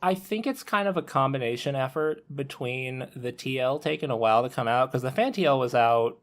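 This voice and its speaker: A man's voice: medium pitch, expressive, a little fast. A man speaking as a panelist on a casual podcast, talking things through conversationally.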